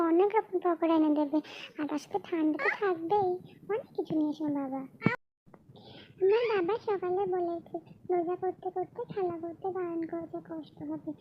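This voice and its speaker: Woman voicing a very high-pitched eager little cartoon girl. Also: moderate pace, muffled recording. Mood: chatty. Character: eager, sweet little girl, pleading and obedient. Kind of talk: cartoon dialogue. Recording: clearly noisy background.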